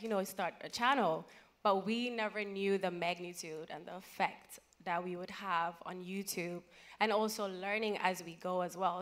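A woman's voice: medium pitch, a bit bright. Woman in her 30s from ghana, chatty and self-deprecating, panel guest on a big stage, handheld mic. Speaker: panel guest, content creator. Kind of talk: conversational panel talk. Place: large conference stage.

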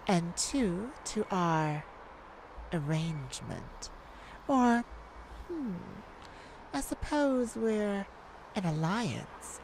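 A woman playing a low, slow, sultry thief. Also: a little mellow, slight background noise, exaggerated voice, rough recording. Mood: teasing, boastful. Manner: teasing, drawn-out phrasing with dramatic pauses. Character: sultry, cocky, flirtatious female master thief. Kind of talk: ASMR romance roleplay acting.

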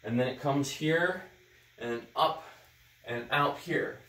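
A man's voice: mid-range voice, slow, pushed and bright. A middle-aged man explaining hands-on as he takes apart a cob heater indoors, rambling and full of ums and uhs. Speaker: natural builder demonstrating a heater. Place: indoors beside a cob heater in a barn workshop. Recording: roomy indoor echo.

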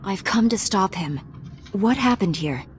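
An actress playing a calm, resolute female Jedi Knight in a video game, speaking firmly and earnestly. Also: mid-range voice, measured pace, slight background noise, natural voice. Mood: firm, serious. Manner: composed, earnest, firm. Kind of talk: video game cutscene acting.

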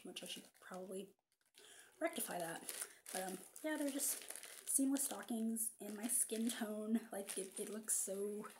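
Casting: young adult woman, mid-pitched, chatty vlogger, webcam sound with a faint hiss. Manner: chatty, animated, many ums and asides, whispered quips. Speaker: vintage fashion vlogger. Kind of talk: casual haul vlog. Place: living room, seated on a sofa. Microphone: webcam at desk distance.

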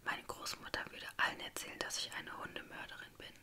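A woman's voice: brisk, natural voice. A woman voicing a frightened but defiant twelve-year-old girl in an urgent whisper for a story. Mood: scared defiance.

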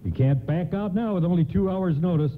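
A man's voice: high voice, a little fast, close to an everyday voice. An actor playing a loyal, practical department store doorman with a folksy manner in a radio play. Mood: hedging. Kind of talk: old-time radio play acting. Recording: narrow-band AM radio, faint noise.

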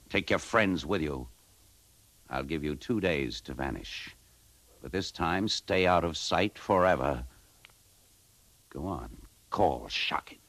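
A man's voice: a bass voice, a bit bright, dry recording, full character voice. An actor in a 1950s radio drama playing a weary, disillusioned advertising man who tells his story in a hardboiled, reflective way. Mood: bitter, commanding. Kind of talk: 1950s radio drama acting and first-person narration.

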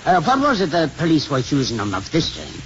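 An actor playing a fussy, kindly elderly priest in 1950s radio style, with a hesitant, stammering manner. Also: male voice, on the high side, huge pitch swings, fast talker, a bit bright. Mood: sheepish. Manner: hesitant, stammering, muttering repetitions. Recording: muffled, noisy 1950s radio recording.

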